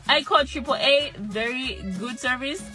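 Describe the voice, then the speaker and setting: A woman with a Zambian accent telling a funny story to camera in a casual, animated way. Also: sits high, moderate pace, music in the background. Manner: animated, humorous, acts out her own voice. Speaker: vlogger.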